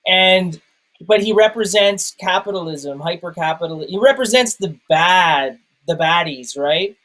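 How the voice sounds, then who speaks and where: A man in a casual film discussion, thinking aloud in a halting way and repeating words as he goes. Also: high-pitched, a bit bright, rough recording. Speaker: film review show host.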